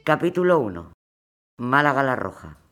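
A man narrating a radio drama in a measured way, announcing dates and places. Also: mid-range voice, big pitch swings, loud music behind the voice. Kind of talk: scripted narration.

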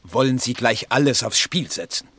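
An actor playing an earnest, polite, lovestruck young suitor in a German radio play. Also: male voice, medium pitch, animated, moderate pace, a bit bright, no room sound. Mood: urgent. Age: young adult. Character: earnest, lovestruck young suitor living beyond his means.

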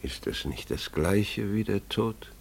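An actor playing a grave, bewildered family father who tells his story haltingly and deliberately in a German radio play. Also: lowish voice, expressive, gravelly, slight background noise, exaggerated voice. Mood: somber.

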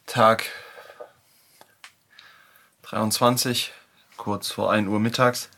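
A man recording a halting voice diary while in pain, sounding subdued and lonely. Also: on the low side, level tone, noisy background. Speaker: audio diary speaker. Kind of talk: confessional voice diary.

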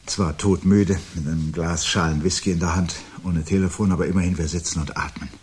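An actor playing a weary, sardonic husband in a 1970s German radio drama, speaking deliberately and rhetorically. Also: male voice, sits low, steady tone, a little mellow, slightly rough. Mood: weary resignation. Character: weary, bitter, sardonic husband awaiting catastrophe.

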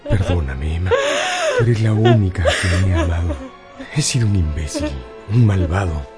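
An actor in a radio drama playing a suave, seductive gentleman, tender and smooth-talking with a former lover. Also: low voice, lively intonation, moderate pace, over loud music. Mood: remorseful.

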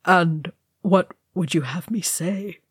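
A grave and noble male dwarf ghost, voiced by a woman reader. The speech is low and very unhurried, solemn and weary, with the measured weight of a sorrowful storybook lord. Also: breathy edge, no echo, studio quality, full character voice.

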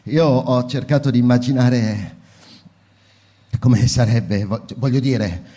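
An actor playing a troubled fireman who talks in a halting, hesitant way, in a radio drama. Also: mid-range voice, warm tone, lightly acted. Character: troubled adult male fireman, hesitant and searching.

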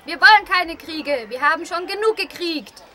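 Bright, lively, cheeky schoolgirl played by a woman. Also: very high voice, moderate pace, heavily acted. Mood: cheeky, defiant. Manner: casual, cheeky, opinionated. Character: Rika, cheeky rebellious schoolgirl, outspoken daughter. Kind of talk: scripted amateur radio play. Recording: clear background noise and ambience.

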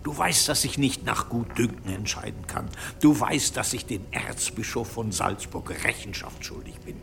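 An actor playing a stern, impatient medieval bishop in an audio drama, commanding and reproachful in manner. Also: male voice, medium pitch, moderate pace, vocal fry, fairly bright tone, soft background music. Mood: bitter. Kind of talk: German audio drama acting.

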